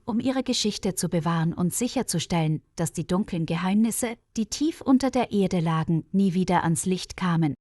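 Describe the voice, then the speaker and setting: A woman's voice: mid-range voice, darkish tone, dry recording. Woman storyteller, dry close studio sound. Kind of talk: scripted narration of a mystery story for German learners.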